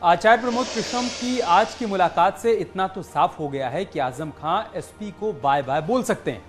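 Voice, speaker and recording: A man's voice: high-pitched, moderate pace, faint music behind. A man presenting a news bulletin as an anchor.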